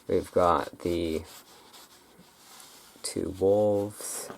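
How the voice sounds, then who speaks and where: An ASMR creator chatting casually and unscripted, in a halting, rambling way that often breaks off mid-thought. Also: sits low, fairly even intonation, creaky, a little hiss or hum, low-quality recording. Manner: halting, rambling, self-interrupting.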